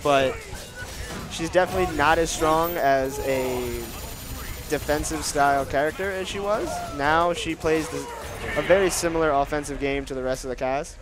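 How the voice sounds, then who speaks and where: A male stream commentator with a nasal voice that he pushes a little lower than usual. He reads out viewer questions and chats through the answers in a loose, casual way. Music plays clearly beneath him. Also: normal speed.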